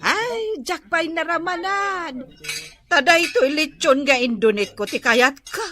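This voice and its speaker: A woman's voice: very high voice, expressive, takes it slowly, noisy background, full character voice. A woman playing a nosy aunt who teasingly and insistently pushes her niece to marry, in a comedy radio drama.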